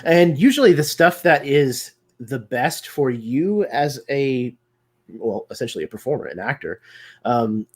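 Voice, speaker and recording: A man guests on a roleplaying-game discussion over a video call. His voice is husky and a little raspy, and he talks things through thoughtfully with frequent pauses and fillers. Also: mid-range voice, animated. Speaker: guest performer. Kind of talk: casual discussion of roleplaying games.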